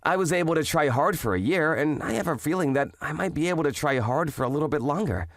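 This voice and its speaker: A man's voice: fairly high pitch, fast talker, no echo, clean studio sound. A young man playing an earnest office worker in a manga dub, narrating his story and voicing his lines expressively. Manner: animated, expressive, swings between narration and exclamation. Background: music.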